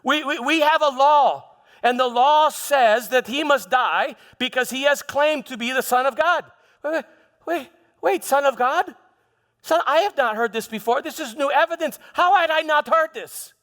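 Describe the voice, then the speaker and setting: A man performing a live theatrical monologue as a proud, troubled Roman governor, halting and repeating his words with defensive frustration. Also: very high voice. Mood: agitated. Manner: halting, repeats words, swings from hushed to shouting. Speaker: preacher performing a dramatized monologue. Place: large church hall, live performance. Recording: echoey hall with long reverb.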